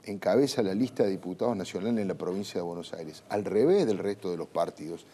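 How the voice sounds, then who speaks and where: A man's voice: fairly low pitch, mellow, slightly rough. A man with an Argentine Rioplatense accent hosting a political talk show. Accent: Argentine Rioplatense Spanish.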